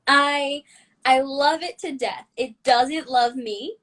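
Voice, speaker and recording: A woman's voice: high-pitched, slow, a bit bright. A young woman guesting on a podcast, answering questions in a wry, self-deprecating way. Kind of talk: casual interview chat.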